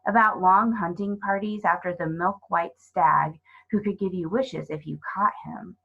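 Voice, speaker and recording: A woman narrating a children's storybook as a reader, in an expressive scripted style. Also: lowish voice, measured pace, vocal fry, breathy edge, phone-quality sound.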